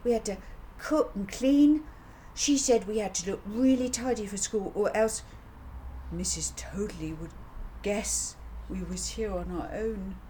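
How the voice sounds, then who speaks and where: Earnest little girl, played by a woman, raised pitch, eager run-on storybook telling, faint noise. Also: unhurried, warm tone, natural voice, rough recording. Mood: indignant, eager. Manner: childish, breathless run-on telling. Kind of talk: children's audiobook character voice. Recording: audible background noise.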